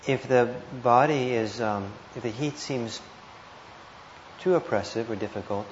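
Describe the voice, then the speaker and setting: A man teaching meditation, giving guided instructions with gentle, hesitant phrasing and pauses. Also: medium pitch. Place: indoor meditation hall. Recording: muffled, roomy live talk with noise.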